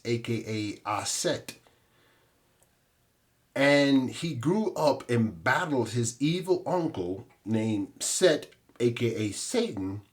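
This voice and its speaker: Male podcast host, mid-range voice, scripted monologue, measured explanatory delivery. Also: medium pitch.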